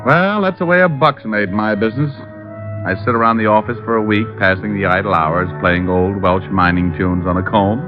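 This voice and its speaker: An actor playing a flippant, self-assured private detective with a breezy, sardonic delivery in an old-time radio drama. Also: male voice, on the low side, brisk, close to an everyday voice. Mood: wry narrating. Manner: breezy, sardonic, dry wit. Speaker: lead actor and narrator. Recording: dull vintage radio recording with music.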